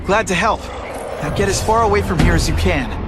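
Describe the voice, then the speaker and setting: A man's voice: high voice, fairly quick, natural voice. An actor playing a young, wisecracking male superhero in a video game, earnest, quippy and urgent. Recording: loud music and vehicle sounds behind.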